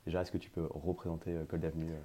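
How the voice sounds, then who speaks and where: Man, podcast host, fast pace, deep mellow voice, chatty, lots of fillers. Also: monotone. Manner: chatty, full of fillers, informal. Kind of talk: podcast interview.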